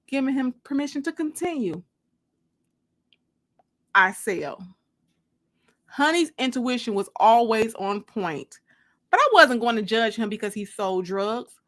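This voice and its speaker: A woman's voice: fairly high pitch, animated, slow pace, long pauses, a bit bright. A woman reading her own novel aloud as a dramatic first-person audiobook narration.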